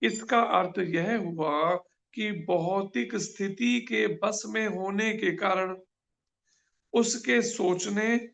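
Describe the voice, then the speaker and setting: A man's voice: sits high, creaky, a bit bright. A man preaching a scripture class, earnest and full of rhetorical questions.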